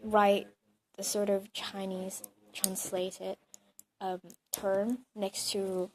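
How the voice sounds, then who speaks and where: A woman's voice: medium pitch, flat delivery, drawn-out words. Young woman, chatty and hesitant. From Hong Kong. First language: Cantonese. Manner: thinks aloud, many "like" and "um" fillers. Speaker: interviewee, bilingual student. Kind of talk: casual interview answers.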